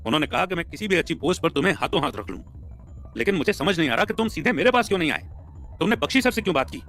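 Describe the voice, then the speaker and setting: A man's voice: fairly high pitch, big pitch swings, racing, a bit bright, faint music behind, voice pushed up. A man voicing a stern middle-aged father-in-law and boss, grave and admonishing, in an audio story. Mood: questioning.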